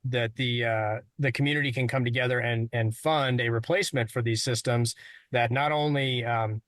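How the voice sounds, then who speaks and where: A man's voice: mid-range voice, fairly even intonation, normal speed, fairly bright tone, no room sound. American man explaining in a dry recording. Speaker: local public safety official. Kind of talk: spontaneous interview explanation.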